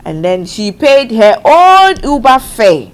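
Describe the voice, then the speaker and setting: A woman's voice: fairly high pitch, very animated, smooth. A woman who hosts a studio panel talk show and walks the panel through events step by step. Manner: measured, explaining step by step.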